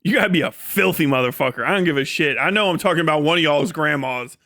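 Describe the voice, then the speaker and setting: A man with a high-pitched, sharp voice rambles to a live chat. His delivery is casual and animated, full of profanity, folksy slang and comic exaggeration. The sound is dry and close, without room echo. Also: quick pace. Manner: animated storytelling with exaggerated descriptions. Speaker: live streamer.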